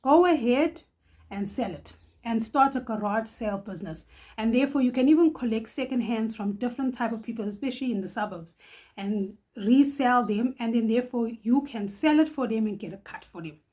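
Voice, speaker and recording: Husky south african lady, middle-aged, chatty vlog host, laughs a lot, bit high voice. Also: a little fast, mellow. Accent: South African, Johannesburg. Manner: chatty, laughs often, tags lines with "okay?". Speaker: channel host. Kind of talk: casual vlog advice.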